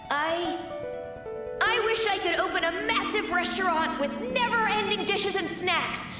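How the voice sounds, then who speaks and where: Brief: dreamy child, very high voice, far away in an echoing space with music. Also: female voice, a little slow. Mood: cheerful. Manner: wistful, enthusiastic. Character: food-loving young child, dreamy and cheerful. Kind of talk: video game voice acting. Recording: distant echoey hall with music.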